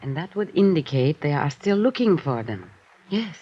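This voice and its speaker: A woman's voice: deep voice, big pitch swings, moderate pace, slightly rough, full character voice. Inquisitive, thoughtful woman in an old radio crime show, muffled recording. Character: earnest, inquisitive woman helping an investigator. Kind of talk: 1950s radio drama acting.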